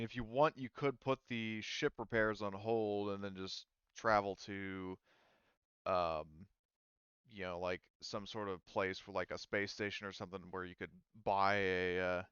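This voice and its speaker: Smooth, low-voiced man running a game. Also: fairly even intonation, fairly bright tone, no echo, dull audio. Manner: halting, explanatory, rambling. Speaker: game master. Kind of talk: casual tabletop game refereeing.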